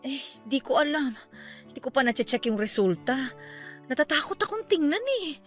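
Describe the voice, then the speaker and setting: A woman's voice: sits high, sing-song, normal speed, soft background music. A woman playing an anxious, hesitant middle-aged aunt in an emotive radio drama. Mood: nervous, hesitant. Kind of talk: Tagalog radio drama acting.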